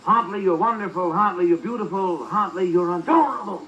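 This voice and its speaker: A man's voice: high voice, lively intonation, a little fast, lo-fi sound. An actor playing a vain, boastful ladies' man with a pompous, florid manner in old radio comedy style. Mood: smug boasting. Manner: pompous, self-admiring, florid. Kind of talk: 1940s radio comedy acting. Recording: noisy old radio recording.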